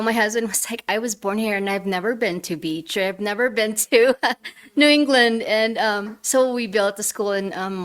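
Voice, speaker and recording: A middle-aged woman from the Philippines speaking as a guest, telling her story in an informal, conversational way. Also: mid-range voice. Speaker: guest speaker, arts nonprofit co-founder.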